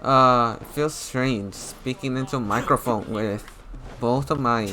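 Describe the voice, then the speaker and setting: Low-key game streamer over music. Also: measured pace. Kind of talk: casual gameplay commentary. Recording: loud game music behind.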